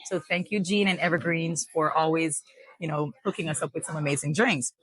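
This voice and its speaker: A woman's voice: deep voice, fairly quick, husky, no echo, rough recording. A woman hosting a casual talk show from a coffee shop, enthusiastic and upbeat. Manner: upbeat, enthusiastic, warm promoter. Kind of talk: casual interview chat.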